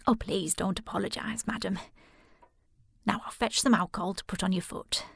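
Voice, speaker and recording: Husky, creaky, dutiful housekeeper. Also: female voice, medium pitch, steady tone, fairly bright tone, dry recording, clean studio sound. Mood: brisk, caring. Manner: deferential, soothing, brisk servant formality. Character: soothing, dutiful, deferential housekeeper of an old house. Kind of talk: period audio drama acting.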